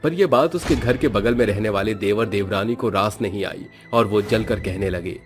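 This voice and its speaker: A man narrates a scripted tale dramatically. His voice sits on the low side, and music plays clearly behind him. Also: moderate pace.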